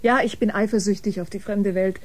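An actress in a German science fiction radio drama playing a proud, wounded exiled biomusician, speaking dramatically with breathy deliberation. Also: female voice, mid-range voice, steady tone, fairly quick, close to an everyday voice. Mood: anguished.

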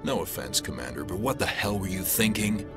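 An actor playing a gruff, pragmatic male alien detective in a video game cutscene, blunt and businesslike. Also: low voice, lively intonation, brisk, over loud music. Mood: stern. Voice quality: gravelly. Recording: game audio with loud score.

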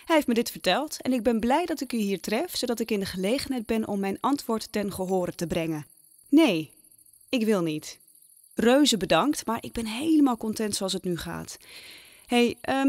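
A woman playing a self-assured young peasant woman in an audio drama, crisply polite and pointed. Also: on the high side, lively intonation, normal speed, no echo, clean studio sound, natural voice. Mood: firm, polite.

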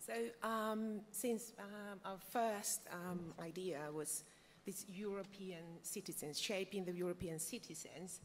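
A woman's voice: mid-range voice, fairly even intonation, measured pace, a little hiss or hum. Woman presenting at a panel, hall reverb. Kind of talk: semi-scripted presentation. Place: indoor hall, panel table. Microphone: table microphone on stand.

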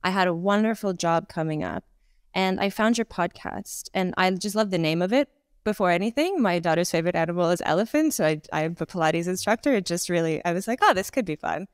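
A woman in her thirties with a Canadian accent, a Pilates instructor chatting as a guest on a video call, talking with lively gestures and lots of fillers. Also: fairly low pitch, a little fast, smooth, no echo, clean studio sound. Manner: chatty, animated hand gestures, frequent fillers. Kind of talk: casual conversational interview. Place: home room, indoors.

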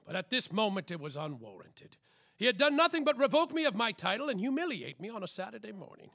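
An actor playing a world-weary, boozy, sarcastic private detective in a slapstick noir radio mystery, with a wry, dramatic delivery. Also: male voice, high voice, vocal fry, sharp tone, husky, exaggerated voice. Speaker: detective and narrator.

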